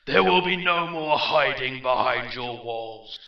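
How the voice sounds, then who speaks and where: A man voicing a mocking, commanding undead warlord in a fantasy audiobook, with slow, imperious delivery. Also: fairly low pitch, level tone, roomy sound, far from the mic, dull audio. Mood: shouting, fanatical. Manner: slow, mocking, rising to shouted intensity. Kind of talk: fantasy audiobook character dialogue.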